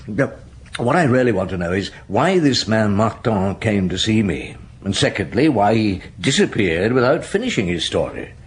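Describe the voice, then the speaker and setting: An actor playing a middle-aged police chief inspector in a radio drama, dogged but kindly, musing with gruff authority. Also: male voice, lowish voice, sing-song, normal speed, a little hiss or hum. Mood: probing, uneasy. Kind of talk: naturalistic radio drama acting.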